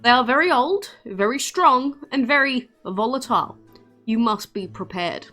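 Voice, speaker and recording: A woman's voice: a little high, lively intonation, normal speed, bright, forceful tone, faint music behind. A woman voicing a solemn, formal being from a game who gives grave warnings to humans. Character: solemn dragon-wise being warning humans of ancient dangers.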